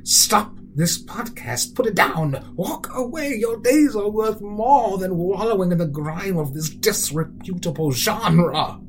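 A man's voice: high voice, expressive, slow, hardly any pauses, voice pushed up, poor audio. A man playing a pompous, menacing male narrator who sets himself up as a moral guardian and drops into whispers. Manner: theatrical, shifting to whispers. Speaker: narrator of a comedy podcast.

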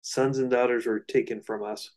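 A man's voice: mid-range voice, steady tone, moderate pace, a little mellow. A man co-hosting a podcast, explaining things informally in loosely phrased, casual discussion. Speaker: podcast co-host.

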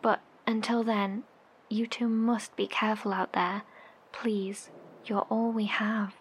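An actress in an audio horror drama playing a worried, caring survivor broadcaster, hesitant, gentle and breathy. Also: female voice, medium pitch, little pitch movement, takes it slowly. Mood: sad, caring.

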